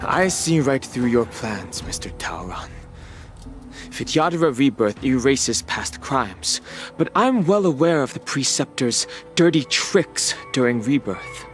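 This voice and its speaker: An actor playing a stern, righteous spear-wielding wanderer in a video game, with a grave, formal, measured delivery. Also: male voice, medium pitch, very animated. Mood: grave, resolute. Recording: game music behind the voice.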